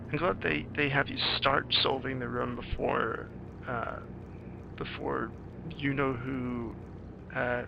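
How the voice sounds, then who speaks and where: Creaky-voiced man doing game commentary. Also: medium pitch, background noise. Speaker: game player and commentator, screenwriter. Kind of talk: playthrough commentary with screenplay-like narration. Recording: game music under the voice. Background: video game music and dialogue.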